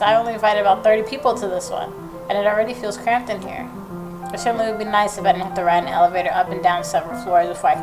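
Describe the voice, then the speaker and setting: A woman's voice: medium pitch, poor audio. A woman gaming host who reads a visual novel aloud and adds opinionated, reactive commentary. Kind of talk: game commentary, reading narration aloud. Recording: game music behind the voice.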